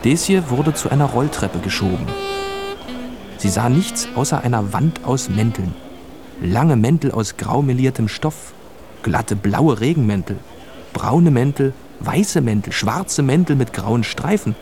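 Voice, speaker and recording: Animated male storybook narrator. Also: mid-range voice, a little slow. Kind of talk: scripted storybook narration for radio drama.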